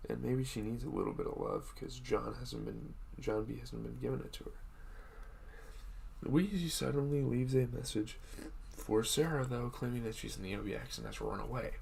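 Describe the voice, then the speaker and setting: A young man, hoarse from just waking up, casually recapping a show episode with a rambling, chatty manner. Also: medium pitch, a little hiss or hum. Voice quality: gravelly.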